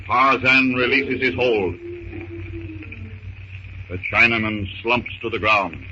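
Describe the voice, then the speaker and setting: A man narrating a 1930s radio serial with emphatic, suspenseful, clipped phrases. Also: mid-range voice. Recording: muffled, noisy 1930s radio recording.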